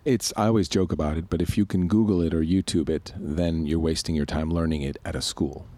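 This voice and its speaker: A man's voice: a bass voice, steady tone, fairly quick, dark tone. A man from Switzerland co-hosting a podcast, explaining ideas in a loose, reflective way with many restarts. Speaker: podcast co-host. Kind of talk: casual podcast conversation.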